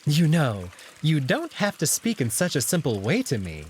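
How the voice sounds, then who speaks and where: A sly jackal noble, acted by a man, slightly high in pitch and very animated, needling with mock courtesy. It is a visual novel character voice over faint background noise and trickling water. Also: normal speed. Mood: mock-polite, probing. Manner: smooth-talking, mock-polite, taunting.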